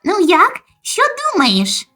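Woman voicing a high, bright, excitable little skeleton boy. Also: takes it slowly, soft background music, full character voice. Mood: excited. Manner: excitable, childlike. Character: cheerful boastful little skeleton boy, the younger brother. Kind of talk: comic dub, cartoon voice acting.

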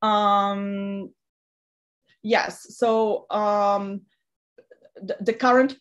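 Flat-voiced woman in a webinar. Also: medium pitch, extremely slow, dull audio. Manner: analytical, hesitant, often restarting phrases. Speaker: migration researcher, panelist. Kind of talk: webinar policy talk. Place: indoor room at home or office. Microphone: video call laptop mic.

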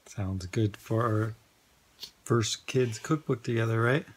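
A man's voice: lowish voice, slow pace, breathy edge. A man in his forties, a father narrating a casual family vlog at home into a handheld phone, upbeat and encouraging. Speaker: vlogger and father.

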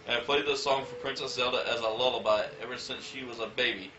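A man voicing a stern female bodyguard from a video game in a deadpan, crude parody dub. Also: medium pitch, fast talker, noisy background, natural voice. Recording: roomy, dull lo-fi with game music.

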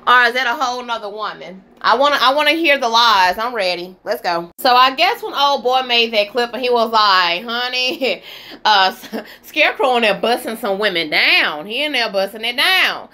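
A woman's voice: on the high side, animated, normal speed, sharp tone. A woman giving casual reaction commentary on gossip, sassy and exclamatory, talking straight to her listeners.

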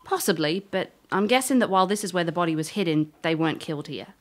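Wry, lowish-voiced elf woman, played by an actress. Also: animated, fast, close to an everyday voice. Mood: wry, investigative. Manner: offhand, understated. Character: tall elf woman investigator, wry, casual, confident. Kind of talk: fantasy audio drama dialogue.

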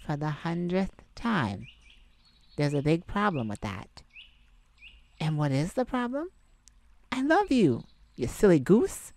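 Woman acting a sweet, slow-talking, tender boyfriend. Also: medium pitch. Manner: tender, gently teasing and coaxing, with sighs. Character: sweet, caring young boyfriend comforting his partner. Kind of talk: intimate ASMR roleplay.

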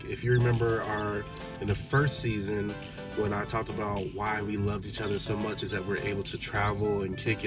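A man in his thirties chats in a relaxed, rambling way from the seat of a parked car. His voice sits a little low. Music plays clearly behind him on the dashboard camera recording. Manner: relaxed, chatty, many "um"s. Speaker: vlogger, father. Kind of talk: casual vlog chat.

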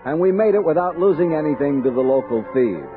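An actor playing a thoughtful, commanding American army captain in a 1960s radio drama, measured and authoritative. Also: male voice, on the high side, animated, natural voice. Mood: grave narration. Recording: dull vintage radio recording with music.

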